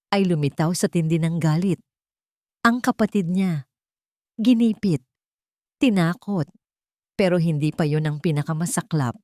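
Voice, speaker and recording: A woman with a low voice narrates a scripted story as an audio drama. She is solemn and dramatic, and the recording is dry and close. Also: warm tone, no room sound.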